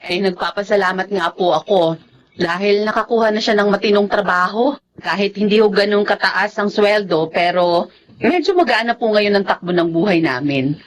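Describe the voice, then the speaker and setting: A woman's voice: medium pitch, normal speed, natural voice. A woman with a Filipino accent playing an attentive but suspicious wife in a TV drama.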